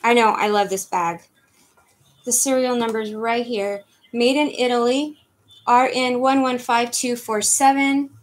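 High-pitched woman in her fifties running a live purse auction on webcam, rattling off bids and thank-yous. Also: fairly even intonation, a little slow. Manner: chatty, reads out bids and thanks viewers by name. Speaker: online auction host selling handbags. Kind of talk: live-stream auction patter. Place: living room at home, indoors. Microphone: webcam in front of her.

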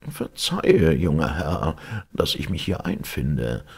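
A man's voice: extremely low pitch, very animated, slow, gravelly, slightly rough, full character voice. A man voicing a humble, polite old country god in a storybook, apologetic and deferential.

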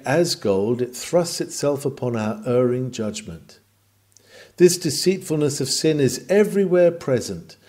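Male sermon reader, medium pitch, mellow tone, oratorical delivery of Victorian prose with weighted pauses. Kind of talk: dramatic sermon reading of a Victorian text.

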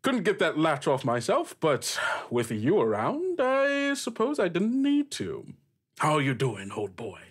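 An eager, chummy magic book acted out by a man in a tabletop game. The voice swoops up high and lively, rambling in a flustered, familiar way as it offers help. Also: a little slow, slightly rough, exaggerated voice. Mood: delighted, chummy. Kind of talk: improvised tabletop roleplay acting.

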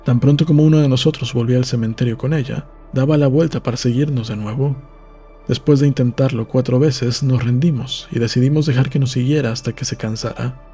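A man reading a novel aloud as an audiobook narrator, in a calm, measured storytelling manner. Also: medium pitch, slightly rough, soft background music.

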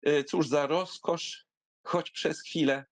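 A man reading a literary memoir aloud expressively, in a wry storytelling manner with dramatic pauses. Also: on the high side, fairly even intonation, dry recording. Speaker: audiobook reader.

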